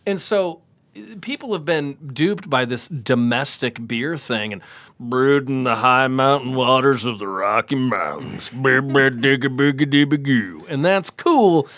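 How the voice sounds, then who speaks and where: A man's voice: medium pitch, expressive, measured pace, a bit bright, no echo. A man in his forties, a podcast guest in casual chat, talking emphatically and preaching his views. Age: 40s.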